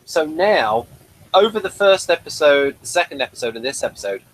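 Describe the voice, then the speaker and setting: A man in casual chat, making dry, measured remarks. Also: on the high side, sing-song, rough recording. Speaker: podcast co-host.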